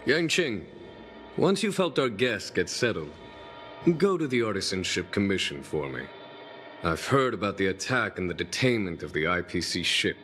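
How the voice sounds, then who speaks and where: Calm low-voiced commander. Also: male voice, moderate pace, soft background music. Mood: calm, instructing. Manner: composed, instructive. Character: calm, authoritative male commander giving orders. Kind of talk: video game voice acting.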